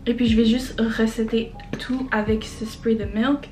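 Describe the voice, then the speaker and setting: A woman's voice: on the high side, level tone, measured pace, a little mellow, low-quality recording. A young woman with a Québécois French accent, chatting casually through a makeup tutorial, hesitating often and slipping in English words. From Quebec, Canada. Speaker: beauty vlogger. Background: background music.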